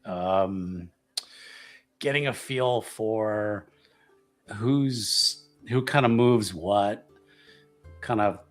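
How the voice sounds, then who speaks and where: Guy with a lowish voice, slow and hesitant, talking through his ttrpg character, soft guitar music behind. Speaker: player in a tabletop roleplaying game. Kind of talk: casual description of a character's plans.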